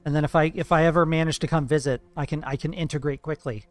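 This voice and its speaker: A man's voice: fairly high pitch, steady tone, fast. A man streaming live, chatting casually and profanely with his viewers while gaming, playful and teasing.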